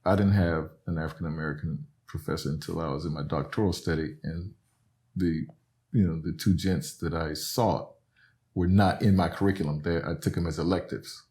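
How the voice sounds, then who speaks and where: A man's voice: low voice, fairly even intonation, normal speed, a little mellow. A middle-aged man from Alabama, a professor talking earnestly as a podcast guest, often tagging "right?" and "you know". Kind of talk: reflective conversational explanation.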